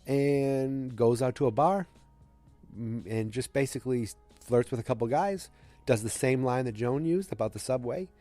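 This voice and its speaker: A man's voice: medium pitch, moderate pace, warm tone, no room sound, soft background music. Stuffy-voiced man with a cold. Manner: rambling, loose, with many fillers and restarts. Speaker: podcast host. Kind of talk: casual solo episode recap.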